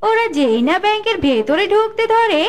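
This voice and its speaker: A woman's voice: very high voice, very animated, fairly quick. A woman narrating a cartoon story as a scripted storyteller.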